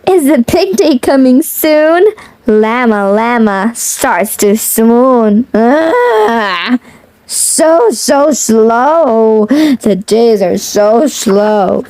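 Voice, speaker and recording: A woman's voice: a little high, animated, extremely slow, darkish tone, dry recording. A woman reading a children's picture book aloud in a playful sing-song way, adding chatty little asides. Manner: chuckles often between lines. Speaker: children's read-aloud host.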